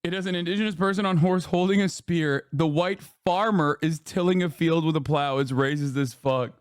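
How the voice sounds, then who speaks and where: Guy streamer ranting and cursing at a clip, higher voice, dead dry mic. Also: a little fast, smooth, no echo. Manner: profane, mocking, shouting in outrage. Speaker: streamer reacting to a podcast clip. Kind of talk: heated live-stream commentary.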